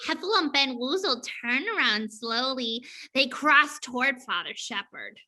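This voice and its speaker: Woman reading out stage directions, high pitched and really bright crisp scripted narration. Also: expressive, unhurried.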